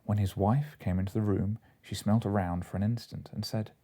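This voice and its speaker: Dark, husky low-voiced man reading a story. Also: steady tone, moderate pace. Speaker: audiobook narrator. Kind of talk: scripted narration of classic comic fiction.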